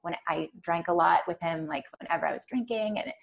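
A soft-spoken woman, a podcast guest chatting casually and rambling with many fillers. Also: lowish voice, a little fast, vocal fry, muffled recording. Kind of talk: casual chat.

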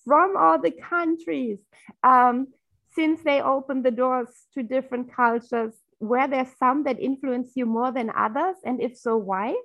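A woman's voice: sits high, measured pace, fairly bright tone, dull audio. A woman hosting a podcast interview, asking questions in a casual and encouraging way. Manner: laughs often, encouraging. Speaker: podcast host.

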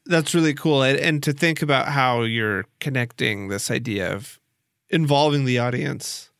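A man's voice: medium pitch, moderate pace, gravelly, fairly bright tone, clean tone, no echo, studio quality. A man interviewing someone in a casual, conversational way. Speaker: podcast interviewer.

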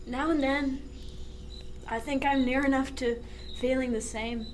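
A woman playing a wry, philosophical sailor in a radio drama, speaking in a measured, reflective way with frank skepticism. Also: high voice, steady tone, slight background noise. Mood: tipsy, fond.

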